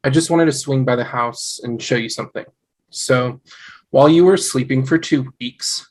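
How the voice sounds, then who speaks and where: A man playing an eager, devoted young husband in a sitcom table read, earnest and excitable. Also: medium pitch, normal speed, natural voice. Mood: explaining, hesitant.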